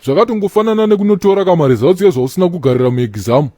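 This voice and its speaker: A man's voice: high voice, expressive, very fast, warm tone, no echo, studio quality. A man with a Zimbabwean accent voicing a laid-back cartoon character who holds forth to his mates in slang. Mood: opinionated. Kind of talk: animated comedy dialogue, slangy banter.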